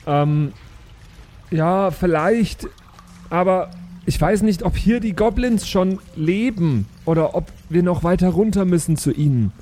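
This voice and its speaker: A man's voice: high voice, lively intonation, a little slow, warm tone. A man talking casually as a player in a tabletop role-playing game.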